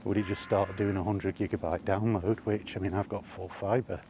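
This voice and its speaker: A man's voice: low-pitched, level tone, normal speed. A man chatting casually and rambling in a wry, grumbling way while walking outdoors. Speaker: vlogger. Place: outdoors on a walk, under trees. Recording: faint outdoor ambient noise.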